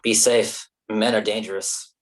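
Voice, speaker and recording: A man's voice: fairly low pitch, flat delivery, unhurried, crowd noise, natural voice. A man playing a theatrical, flirty, self-pitying actor in his mid-thirties in a staged play reading. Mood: glib. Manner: whiny, theatrical, pretentious.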